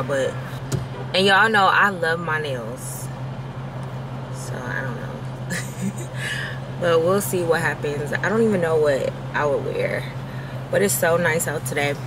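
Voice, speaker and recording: A young woman, chatting casually to her viewers as a vlogger. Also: low-pitched. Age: young adult. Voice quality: raspy. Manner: chatty, addresses viewers as y'all. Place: inside a car. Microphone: camera at arm's length on the dashboard. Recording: car cabin with road noise.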